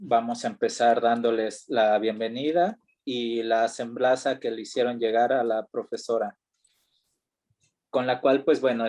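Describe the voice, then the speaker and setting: A man with a mid-range voice moderates an online academic panel. He speaks in a measured, formal way, reading introductions and a biography aloud with care. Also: medium pitch, darkish tone.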